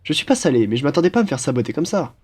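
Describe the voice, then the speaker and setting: A man's voice: on the high side, very animated, rapid-fire, a little mellow, husky. A young man playing a cheerful, teasing student roommate in a casual, one-sided audio roleplay. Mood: friendly, joking. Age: young adult. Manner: playful, chatty, bantering.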